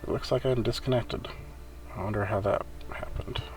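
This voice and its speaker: A man's voice: lowish voice, fairly even intonation, normal speed, a little mellow. A man giving casual gameplay commentary, muttering his way step by step as he thinks aloud on a noisy home recording. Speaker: gamer hosting a server event.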